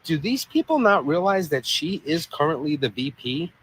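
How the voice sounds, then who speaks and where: A man's voice: a little high, very animated, moderate pace, a bit bright, rough recording. Sarcastic male commentator, exasperated, slight background hiss.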